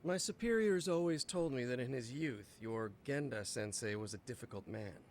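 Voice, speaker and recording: A man's voice: mid-range voice, lively intonation, normal speed, darkish tone, slight background noise, lightly acted. An actor voicing a haughty, condescending male prosecutor in a video game, with a sarcastic edge.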